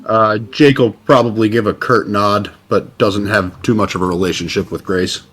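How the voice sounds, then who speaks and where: A man with a low, rough-edged voice plays in a tabletop game. His delivery is casual and brief, describing his character's actions in plain conversational phrases. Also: steady tone, normal speed, fairly bright tone.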